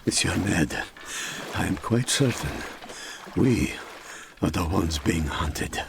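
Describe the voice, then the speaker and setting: A man playing a loyal, wary manservant who speaks formally and gravely in an audio drama. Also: low voice, animated, exaggerated voice. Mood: grave, uneasy. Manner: measured, formal. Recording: noisy sea and boat ambience.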